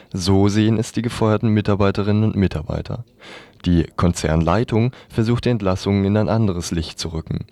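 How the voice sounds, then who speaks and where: Deep-voiced male radio newsreader. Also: warm tone, dry recording. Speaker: news reader. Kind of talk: scripted radio news reading.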